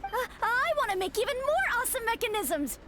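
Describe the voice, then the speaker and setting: Eager, very squeaky little child. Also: female voice, sing-song, brisk, heavy background noise. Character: eager, curious small child at a toy fair. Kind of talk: anime-style video game voice acting.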